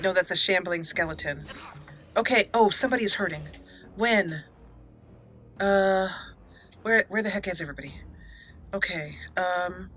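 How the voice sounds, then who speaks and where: A woman giving casual, excitable commentary while playing a video game, talking to the characters as she goes. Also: mid-range voice. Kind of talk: casual let's play commentary.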